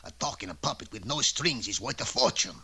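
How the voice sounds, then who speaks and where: An actor playing a grumpy, greedy old woodcarver in a cartoon, a gravelly voice and brash broken English. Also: male voice, mid-range voice, expressive, brisk, heavy background noise, close to an everyday voice. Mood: greedy, excited. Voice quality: gravelly, raspy. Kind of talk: cartoon voice acting.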